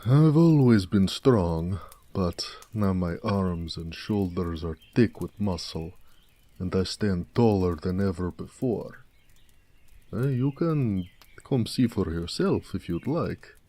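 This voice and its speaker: Man voicing a deep, gentle orc blacksmith. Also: animated, exaggerated voice. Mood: proud, affectionate. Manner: unhurried, soft-spoken, courteous, slightly formal. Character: big muscular male orc blacksmith, gentle, proud, affectionate. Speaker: voice actor. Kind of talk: intimate roleplay audio drama.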